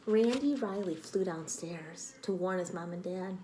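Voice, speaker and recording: A woman reading a picture book aloud to children like a teacher, expressive and dramatic, with exclamations and prompting questions. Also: on the low side, a little slow, background noise. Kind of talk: read-aloud storytelling with questions to young listeners.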